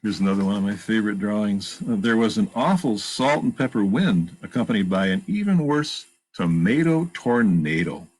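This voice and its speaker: A man's voice: fairly low pitch, expressive, moderate pace, hardly any pauses, husky, low-quality recording. A man reading a children's picture book aloud, expressively, with plain asides about the pictures. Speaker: storytime reader and narrator.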